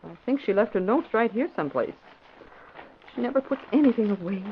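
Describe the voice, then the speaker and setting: Wry, lively-voiced secretary. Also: female voice, on the high side, brisk. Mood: wry, reading. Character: wry, capable female newspaper secretary. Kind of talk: old-time radio drama acting. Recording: dull, noisy vintage radio recording.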